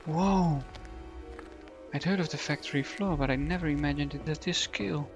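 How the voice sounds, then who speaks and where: A man's voice: fairly high pitch, normal speed, natural voice. An actor playing an uneasy, questioning man in an audio drama, hesitant in manner. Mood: awed. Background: music.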